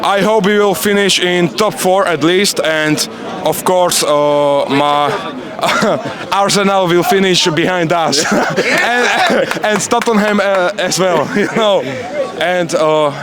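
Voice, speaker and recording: A male football fan in a casual street interview, searching for words in a halting, giggly way. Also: high-pitched. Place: outdoors outside a stadium. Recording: loud outdoor crowd noise.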